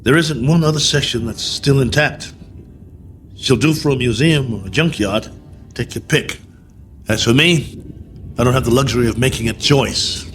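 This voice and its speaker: An actor playing a stranded, injured astronaut colonel in a radio drama, weary and stubborn, with a clipped, dryly sardonic way of talking. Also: male voice, mid-range voice, moderate pace, long pauses, music in the background, lightly acted. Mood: weary, matter-of-fact.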